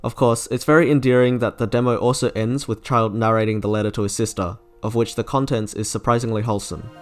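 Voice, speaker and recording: A man's voice: mid-range voice, steady tone, fast. A man narrating a scripted video game review as an online reviewer. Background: faint music.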